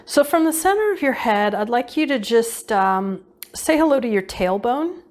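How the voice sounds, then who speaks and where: A woman's voice: fairly high pitch, lively intonation, moderate pace. A woman leading an unscripted guided visualization meditation, giving casual instructions and often repeating her phrases.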